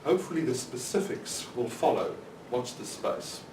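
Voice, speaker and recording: A man's voice: medium pitch, expressive, normal speed. A man, a legal researcher, giving panel remarks in a hesitant way, with many ums. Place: conference hall. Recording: echoey hall, distant mic, noisy.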